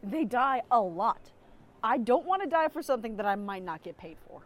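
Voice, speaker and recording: A woman's voice: on the high side, big pitch swings, moderate pace. A woman playing a mocking, mercenary fantasy adventurer with dry sarcasm in a comedic audio drama.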